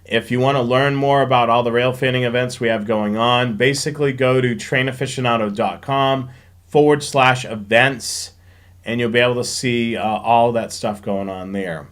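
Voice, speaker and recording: A man's voice: medium pitch, fairly even intonation, normal speed, a bit bright. A man hosting a live show, chatting informally and explaining things as he answers questions, thinking aloud as he goes. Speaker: host of a railfanning live show. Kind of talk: casual live-stream question and answer chat.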